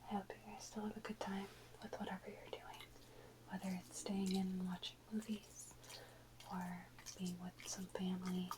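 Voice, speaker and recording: A woman whispering softly and unhurriedly in a casual ASMR chat, close to the microphone. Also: mid-range voice, flat delivery, moderate pace, background noise. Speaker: ASMR video host.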